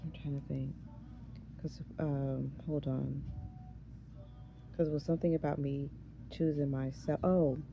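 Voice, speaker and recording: A woman in her thirties with a hoarse, raspy voice, chatting casually in a vlog and often losing her train of thought. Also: low voice, flat delivery, a little slow, long pauses, muffled recording, background noise. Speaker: vlogger. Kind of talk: casual chat.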